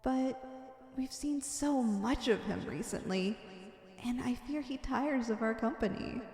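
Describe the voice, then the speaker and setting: Slow, high-voiced wicked villainess in echo. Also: female voice, warm tone. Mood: coy, musing. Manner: theatrical, florid, drawn-out purring endearments. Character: wicked obsessive villainess, lovestruck and gleefully murderous. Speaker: ASMR roleplay voice actor. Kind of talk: theatrical villain ASMR roleplay monologue. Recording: echoey hall-like reverb.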